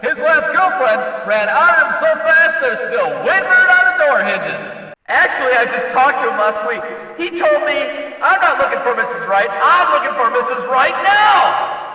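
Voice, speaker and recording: A man playing a folksy, excitable small-time race announcer in a video game, projecting wry commentary and sponsor plugs over a stadium loudspeaker. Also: extremely high pitch, heavily acted. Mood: playful, gossipy. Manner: projected, drawn-out announcer delivery. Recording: distant, dull PA sound with heavy echo.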